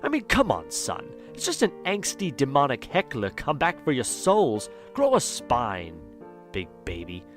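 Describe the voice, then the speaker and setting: A man's voice: medium pitch, huge pitch swings, a little slow, soft background music. A man playing a wry, mocking, lonely spirit who narrates an audio drama in a theatrical manner.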